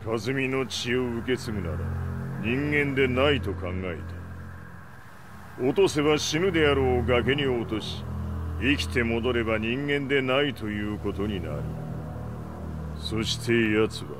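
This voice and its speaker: A man's voice: mid-range voice, lively intonation, a little fast, background noise, lightly acted. A male actor playing a ruthless, proud old martial-arts patriarch in a video game, speaking commandingly in archaic old-man style. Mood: grim, resolute. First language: Japanese. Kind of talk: dramatic video game acting. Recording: dramatic music behind the voice.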